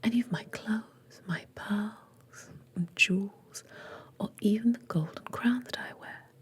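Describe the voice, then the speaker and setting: A woman voicing a spoiled young storybook princess in a breathy whisper, airy and haughty, with a British accent. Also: medium pitch, measured pace, lightly acted. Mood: eager, promising.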